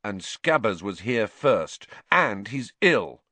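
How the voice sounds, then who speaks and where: A man's voice: fairly low pitch, very animated, slow, no echo, full character voice. Man voicing a hot-tempered, indignant teenage schoolboy. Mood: sulky, accusing. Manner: loud, indignant outbursts.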